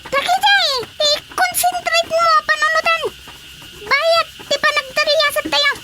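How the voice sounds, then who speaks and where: An actor voicing a tiny, bossy creature of an underground kingdom in radio comedy, with a squeaky, childlike voice and a commanding manner. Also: fairly even intonation, measured pace. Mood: instructing. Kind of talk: radio comedy drama acting. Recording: loud noise behind the voice.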